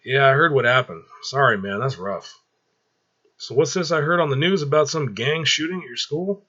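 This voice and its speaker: A man's voice: mid-range voice, lively intonation, moderate pace, bright, forceful tone, husky, lo-fi sound, pitched above the performer's own voice. A man voicing a friendly, easygoing young soldier who talks in slang, in a story read aloud. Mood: sympathetic.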